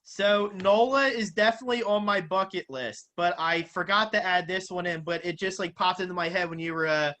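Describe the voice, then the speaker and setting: A man with a Philadelphia accent hosting a casual interview, speaking informally and haltingly. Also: sits high, pushed and bright. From Philadelphia, United States. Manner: halting, informal, often says like. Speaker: interviewer, podcast host.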